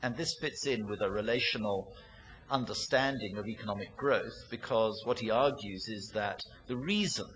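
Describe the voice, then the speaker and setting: A man with a fairly low voice sets out a long question to a seminar panel. He speaks thoughtfully and builds his point step by step, with frequent "um"s and pauses. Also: a bit bright, poor audio. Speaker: seminar participant asking a question.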